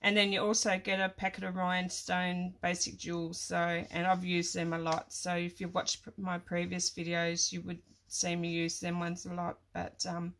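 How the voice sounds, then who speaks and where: A middle-aged Australian woman with a croaky voice from a cold, chatting casually as she hosts a craft livestream in a hesitant, rambling way. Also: on the low side, fairly bright tone. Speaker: craft demonstrator and livestream host. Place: small home craft room.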